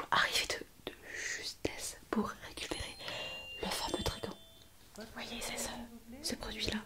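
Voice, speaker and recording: Woman playing excitable whispering young hospital worker. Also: mid-range voice, close to an everyday voice. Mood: excited, animated. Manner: breathless, hesitant storytelling to the listener. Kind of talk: whispered ASMR roleplay.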